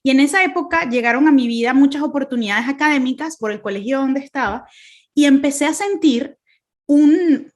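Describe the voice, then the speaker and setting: A woman's voice: sits high, fairly quick. Venezuelan woman giving an earnest talk. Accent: Venezuelan Spanish, Caracas. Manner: earnest, many fillers and restarts. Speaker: guest speaker. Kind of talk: online faith talk. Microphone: video call.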